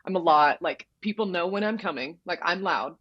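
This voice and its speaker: A young woman from Texas, a college goalkeeper telling stories in a loud, direct, animated way during a casual interview. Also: on the low side, normal speed, breathy edge, muffled recording. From Dallas, Texas. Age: young adult. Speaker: soccer goalkeeper, guest.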